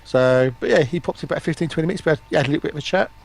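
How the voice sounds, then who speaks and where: Higher-pitched man, chatting casually. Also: racing, creaky. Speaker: livestreamer and guest on the stream. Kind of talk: casual chat among gamers.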